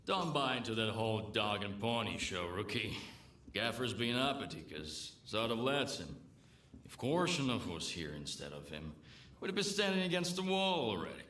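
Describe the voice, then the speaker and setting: Casting: world-weary game bartender, gruff and slangy, swinging intonation, echoing room. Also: male voice, lowish voice, very animated, normal speed, fairly bright tone. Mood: wry. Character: world-weary, pragmatic male bartender in a lawless zone. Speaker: bartender character. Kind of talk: video game dialogue. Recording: roomy echo with noisy game ambience.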